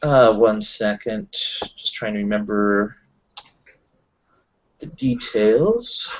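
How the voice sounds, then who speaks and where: A man improvising in a tabletop roleplaying session, talking haltingly and often searching for his words. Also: lowish voice. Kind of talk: improvised in-character roleplay chat. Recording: dull lo-fi voice recording.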